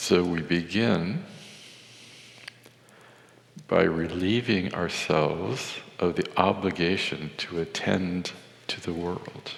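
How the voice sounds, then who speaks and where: A man leading a guided meditation in a measured, contemplative way, with deliberate pauses. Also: deep voice, fairly even intonation, vocal fry. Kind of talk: guided meditation with Sanskrit chanting. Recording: echoey hall with long reverb.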